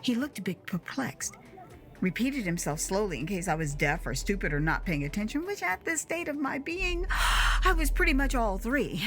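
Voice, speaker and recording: A woman narrating a first-person memoir as audio drama in an expressive, conversational way. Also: mid-range voice, moderate pace, faint music behind. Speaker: author and narrator.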